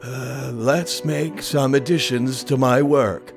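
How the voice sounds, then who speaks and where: Slow, nonchalant slacker man acted out. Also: mid-range voice, steady tone, gravelly, faint music behind. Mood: nonchalant, compliant. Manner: casual, nonchalant. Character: laid-back, idle male writer, easily pushed around. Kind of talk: audio drama acting.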